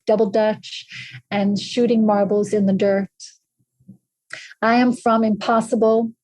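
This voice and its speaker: A woman in her fifties from southern Africa, reading her own poem aloud in a measured, even recitation as a podcast guest. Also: mid-range voice, no echo, rough recording. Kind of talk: slow poetry reading.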